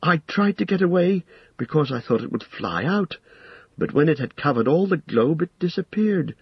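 Shrill, frightened young hobbit. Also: male voice, expressive, moderate pace, gravelly, husky, dry recording, lo-fi sound, full character voice. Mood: hesitant, frightened. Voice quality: shrill, strained. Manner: stammering, hesitant. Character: frightened young male hobbit, shaken and pleading.

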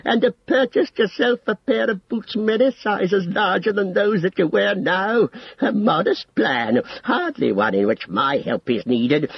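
A man's voice: a little high, creaky, slightly rough, dry recording, muffled recording, pitched above the performer's own voice, exaggerated voice. A man voicing a proud, scornful, wrathful old male wizard in an audiobook story. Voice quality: screaming when enraged. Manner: sneering, scornful, condescending.

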